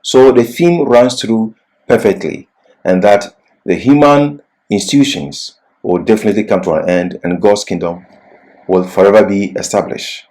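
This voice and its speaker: A man's voice: lowish voice, normal speed, a little mellow, poor audio. A man giving an expository Bible lecture as a teacher, didactic and leaning on key words.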